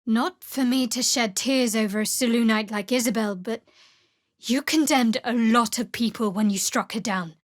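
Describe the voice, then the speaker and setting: A woman voicing a guarded, sardonic young woman cleric in a fantasy video game, with a measured, dry delivery. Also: on the high side, no room sound, close to an everyday voice. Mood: earnest.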